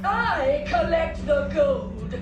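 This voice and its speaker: An actress voicing a cursed, monstrous female toll collector in a game, who makes halting, repetitive, insistent demands for gold. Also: sits high, very animated, lo-fi sound, heavily acted. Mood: obsessive, insistent. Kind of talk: video game voice acting. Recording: echoing, distant, music behind.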